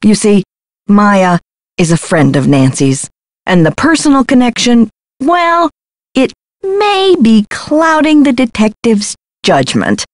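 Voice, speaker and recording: An actress playing a pompous, controlling publicity agent in a video game, speaking in a grandiose, theatrical manner. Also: female voice, fairly high pitch, sing-song, slow, dry recording, clean studio sound. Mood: guarded.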